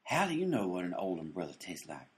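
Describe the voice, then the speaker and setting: A man's voice: deep voice, huge pitch swings, quick pace. A man playing a dim-witted, unbothered sandwich maker in a radio comedy, speaking in a folksy, matter-of-fact way. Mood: obtuse, unbothered.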